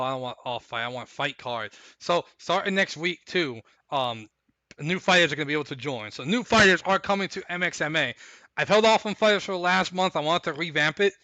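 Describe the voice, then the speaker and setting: A man with a fairly high voice, hoarse and raspy because he is losing his voice. He rambles in a hyped, repetitive way as he hosts a live stream. The sound is dry and close, with no room echo. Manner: rambling, hyped, repeats himself. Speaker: stream host. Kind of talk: casual live-stream chat and recap.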